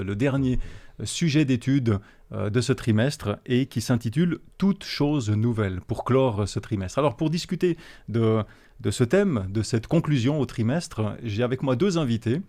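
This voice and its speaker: A man's voice: mid-range voice, mellow. A man hosting a Bible study talk show, hesitant with frequent fillers. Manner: hesitant, many fillers and restarts. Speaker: host.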